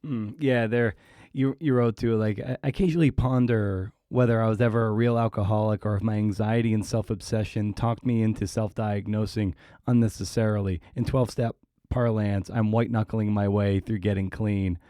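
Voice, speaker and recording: Male interviewer, mellow voice, dry close sound. Casual, reflective, halting delivery. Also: on the low side, fairly even intonation, no echo. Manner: halting, reflective, thinking aloud. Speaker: podcast host, interviewer.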